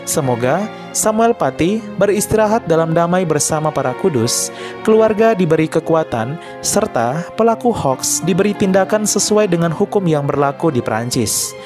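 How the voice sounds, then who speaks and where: A man reading a scripted news report as a voice-over, in a measured and earnest tone. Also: fairly high pitch, animated. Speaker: channel narrator. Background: faint background music.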